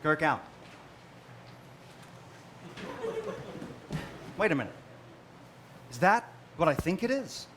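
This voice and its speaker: Man playing a high-voiced commanding starship admiral. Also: sing-song, normal speed. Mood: earnest. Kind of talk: theatrical parody stage acting. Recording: live stage recording with clear noise.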